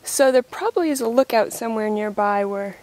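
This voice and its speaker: A woman's voice: a little high, fairly quick, a little mellow. A young woman reporter chatting casually as she hikes a trail, giving offhand tips to the viewer. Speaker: newspaper reporter. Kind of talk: casual hiking vlog. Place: outdoors on a forest trail, some lines in a car.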